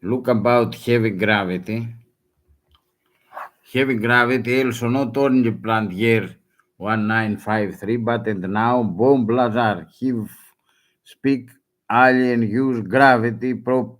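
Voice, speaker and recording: A man whose first language is Greek, speaking broken English in a halting, urgent warning with many restarts. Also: medium pitch. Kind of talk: halting self-recorded warning.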